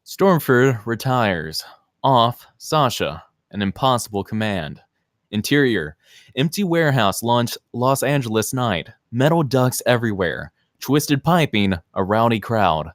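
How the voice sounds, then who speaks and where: Animated man reading screenplay directions. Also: mid-range voice, measured pace, dry recording, clean studio sound. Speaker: stage-direction reader. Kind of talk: scripted narration at a screenplay table read.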